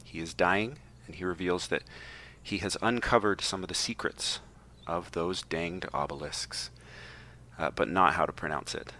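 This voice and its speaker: A man's voice: low-pitched, normal speed, sharp tone, slight background noise. A man on a podcast reading a prepared book summary aloud, with a wry, tongue-in-cheek delivery and joking asides.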